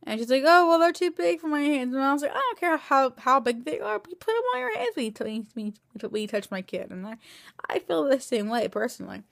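A woman of twenty talking into a phone camera at home at night, tired and in pain after surgery, rambling with many halting restarts. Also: high-pitched, sing-song, vocal fry, fairly bright tone, dry recording, clean studio sound. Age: 20s. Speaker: vlogger. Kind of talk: casual video diary. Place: small room indoors at night. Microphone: phone camera held close.

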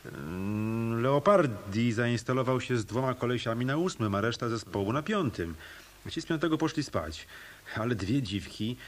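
An actor playing a tough, wisecracking hotel detective in a radio drama, with a wry, sardonic manner. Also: male voice, medium pitch, expressive, moderate pace, lightly acted. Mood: indignant, sardonic.

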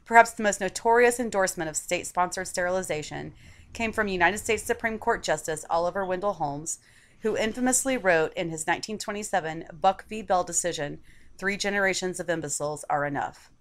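A woman narrates a written opinion piece in a fairly low voice. Her delivery is measured and articulate, clearly read from a prepared script. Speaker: article reader.

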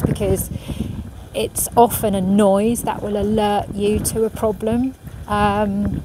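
A middle-aged woman, a professional sailor, answering viewer questions in a quiet, thoughtful way with many ums, filmed outdoors on a marina pontoon. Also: medium pitch. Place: outdoors on a marina pontoon beside a racing yacht. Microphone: camera at medium distance, phone in hand. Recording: outdoor recording with clear background noise. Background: marina ambience and passing vehicles.

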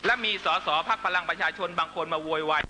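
A man's voice: fairly high pitch, quick pace, background noise. A man delivering a fiery political speech to supporters, accusatory and emphatic, constantly pressing listeners with rhetorical questions. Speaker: political rally speaker.

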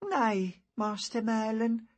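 A man's voice: sits high, slow pace, voice pushed up. A man voicing a timid, eager young peasant boy in an audiobook story, hesitant and deferential, with rustic grammar. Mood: weak, earnest.

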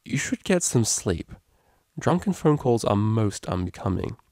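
A man's voice: mid-range voice, big pitch swings, normal speed, a little mellow, no room sound, studio quality, pitched above the performer's own voice. A man voicing a refined, caring female cellist pony in a storybook, with prim and formal wording. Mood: amused.

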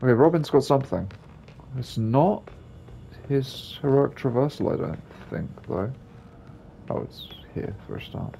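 A man with a gravelly, husky voice giving casual, rambling commentary as he plays a video game. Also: mid-range voice, sing-song, measured pace, dark tone, slight background noise. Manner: rambling, offhand. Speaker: gaming video creator.

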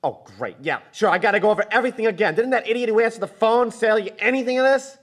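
Man doing angry pushy customer in a radio play, talks fast and high, keeps butting in. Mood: irate, impatient. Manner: pushy, insistent, interrupting. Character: irate, impatient male winery owner phoning to complain. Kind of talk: live old-time radio drama acting. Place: live stage studio performance.

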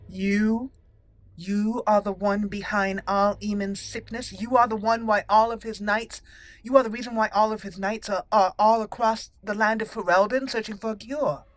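A woman hosting a roleplay let's play, musing aloud and talking in character with deliberate, halting, dramatic emphasis. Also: medium pitch, fairly even intonation, dry recording. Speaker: gaming channel host, roleplayer.

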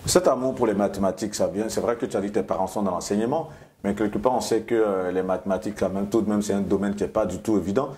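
A man, hosting an interview with friendly, encouraging questions on a lapel mic in a bright studio room. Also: on the low side, fast talker. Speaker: interview host. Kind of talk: talk show interviewing.

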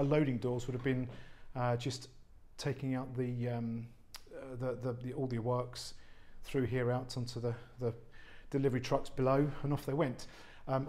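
A man, an estate agent giving an unscripted walk-through tour of a warehouse flat, chatty and full of fillers and restarts. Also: mid-range voice. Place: large warehouse flat, indoors. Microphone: handheld phone camera, speaker off screen.

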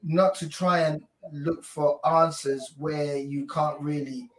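A man in his forties, a pastor, answering questions in a measured, hesitant way over a video call from home. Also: fairly high pitch, flat delivery, a little hiss or hum. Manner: measured, hesitant, many fillers. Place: home room, indoors. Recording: echoey room, far from mic.

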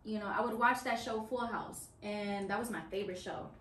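A woman's voice: medium pitch, brisk, distant mic. A young woman from Washington, D.C., talking as a guest in a casual interview, telling stories with lots of "you know"s. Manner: animated storyteller, many "you know"s. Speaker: guest musician. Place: small office meeting room, indoors. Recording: roomy sound from off-mic, faint hum.

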